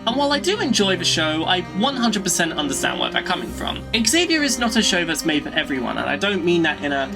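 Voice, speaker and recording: Lively young woman narrating with music. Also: lowish voice, fast talker, gravelly, few pauses. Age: young adult. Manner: lively, swears freely. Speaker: video essay host. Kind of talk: casual video essay narration.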